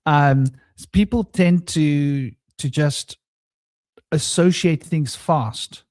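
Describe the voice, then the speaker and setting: A man hosting a casual spiritual round-table chat, rambling and relaxed, with a somewhat droning voice and many fillers. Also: fairly high pitch, slow pace, dry recording. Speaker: host. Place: home studio.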